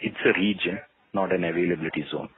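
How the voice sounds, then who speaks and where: A man with a deep voice conducts a job interview on a call. He phrases his questions haltingly, pausing often as he works toward each point. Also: fairly even intonation, phone-quality sound. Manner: halting, hesitant phrasing with frequent pauses. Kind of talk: spoken interview questioning over the phone. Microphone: phone or call audio.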